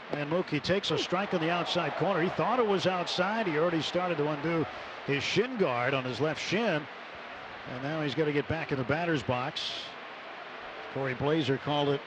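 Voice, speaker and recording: A man with a slightly high voice calls baseball play by play for radio. He is a live commentator working from a stadium booth, with clear background noise of the ballpark behind him. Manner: measured between plays, excited on big plays.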